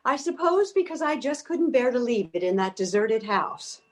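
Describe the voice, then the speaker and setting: Woman acting a bossy, high-voiced older lady. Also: sing-song, moderate pace, faint music behind. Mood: resigned, softer. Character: bossy, practical, impatient older woman, exasperated yet caring. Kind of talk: comedic readers theater acting.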